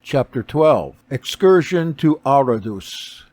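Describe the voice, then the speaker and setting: A man's voice: medium pitch, lively intonation, takes it slowly, a little mellow, no room sound. A man narrating a religious audiobook from a script.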